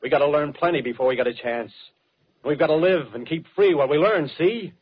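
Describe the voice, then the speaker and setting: Fanatical soldier, husky, vintage radio. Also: male voice, a little high, a little fast. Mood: fierce, insistent. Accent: American. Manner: colloquial tough-guy talk, clipped phrases, insistent. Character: fanatical rough-spoken soldier survivor, scheming to rule. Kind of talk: 1930s American radio drama acting. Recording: dull, muffled 1930s radio broadcast recording.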